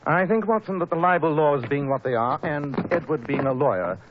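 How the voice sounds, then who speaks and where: An actor in a 1940s radio drama playing a shrewd, composed detective with a precise, authoritative way of speaking, on a crackly recording. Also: male voice, a little high, brisk, close to an everyday voice. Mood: dry, measured. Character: shrewd, commanding male detective, composed and incisive.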